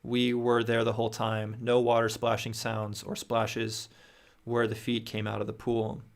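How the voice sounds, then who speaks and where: A young man with a gravelly, mid-pitched voice reads viewer stories aloud on a livestream. He speaks close to a studio microphone in a small room at home. He often stumbles, restarts and adds his own reactions as he goes. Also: completely flat delivery, a little slow. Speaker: host reading viewer stories. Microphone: studio mic on boom near face.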